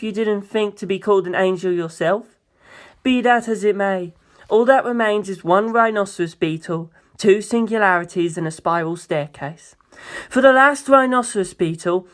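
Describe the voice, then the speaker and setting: A narrator voicing a suave, grandiose young male president with pompous, formal, drawn-out phrasing in an audiobook. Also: medium pitch, a bit bright, natural voice. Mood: smug, ceremonious.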